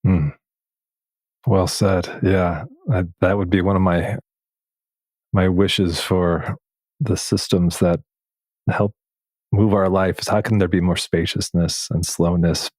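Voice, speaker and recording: A man with a low voice, recorded dry and close with no room sound. He speaks as a podcast host in a reflective, conversational way, pausing as he searches for the right phrasing. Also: level tone, darkish tone, no room sound, poor audio. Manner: thoughtful, searching phrasing with pauses.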